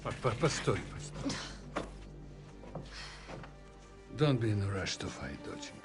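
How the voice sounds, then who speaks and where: Gravelly, slow-talking Russian father. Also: fairly low pitch, wildly swooping pitch, exaggerated voice. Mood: earnest, protective. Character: gruff, loving older Russian father, protective peacemaker. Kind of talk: acted video game cutscene. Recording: music behind the voice.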